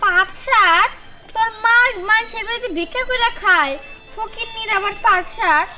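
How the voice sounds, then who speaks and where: A very high-pitched, sulky village girl, played by a woman, speaks bluntly. Music plays behind this fairy-tale drama voice. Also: normal speed. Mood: scornful.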